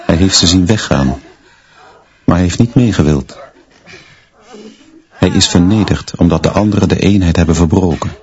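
A man's voice: sits low, normal speed, pauses often, a little mellow, highs cut off, close to an everyday voice. A man playing a stern, brooding schoolteacher who narrates his own story in terse, literary sentences for a radio play. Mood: brooding, bitter.

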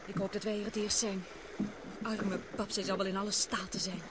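A woman's voice: mid-range voice, a little fast, lightly acted. An actress playing a worried young woman on an expedition, speaking earnestly and anxiously. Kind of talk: radio play acting. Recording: radio play with steady background noise.

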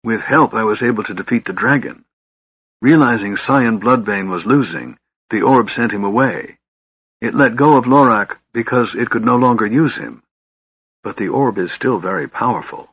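Guy doing cool young wizard in a fantasy audiobook, sharp n cryptic, husky, bit muffled. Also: lowish voice, no room sound. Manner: sharp, measured, enigmatic. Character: slender young male mage, cool, secretive, weary.

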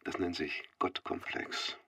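An actor playing a gruff, no-nonsense police investigator with a husky voice and deadpan manner in a radio drama. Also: male voice, slow, no room sound. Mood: dry, irritated. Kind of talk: naturalistic radio drama dialogue.

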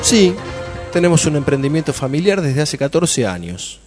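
A man playing an enthusiastic, dreamy farmer in a radio play, speaking colloquially with an Argentine accent and expressive delivery. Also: fairly high pitch, moderate pace, over loud music. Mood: proud, chatty. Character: Germán, enthusiastic dreamy male farmer from a farming family. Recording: long hall reverb.

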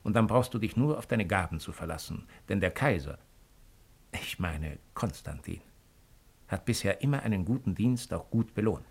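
An actor playing a cold, calculating Roman imperial agent in a radio drama, speaking in a measured, insinuating way. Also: male voice, deep voice, lively intonation, slightly rough, heavily acted. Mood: cool, self-assured. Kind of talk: 1950s German radio drama acting.

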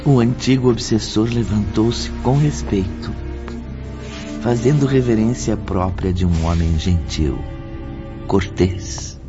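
A Brazilian man acting as a grave storyteller in a radio drama, theatrical, solemn and deliberate. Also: fairly low pitch. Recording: dull lo-fi audio under music. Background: background music.

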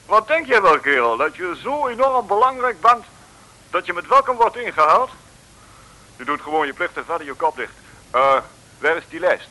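An irritable male colonel in a vintage radio comedy-drama. He blusters and scolds in a high, piercing voice that swoops around with exasperation. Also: big pitch swings, moderate pace, pushed and bright, heavily acted, rough recording. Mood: scolding, impatient. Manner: gruff, exclamatory, scolding. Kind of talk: old radio serial acting.

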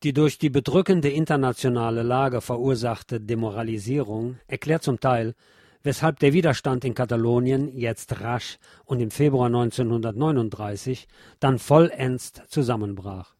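A man reading scripted historical documentary narration in a formal, measured manner. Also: medium pitch, mellow, no echo, clean studio sound. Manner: formal, measured read delivery.

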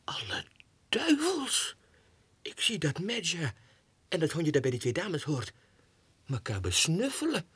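An actor playing an unhinged, excitable low clerk who mutters haltingly to himself in a 1960s radio monologue. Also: male voice, husky, dry recording, exaggerated voice. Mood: startled.

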